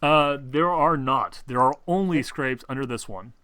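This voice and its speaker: A man improvising narration as game master of a tabletop game, thinking aloud in a halting, conversational way. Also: medium pitch, sharp tone, smooth, no room sound.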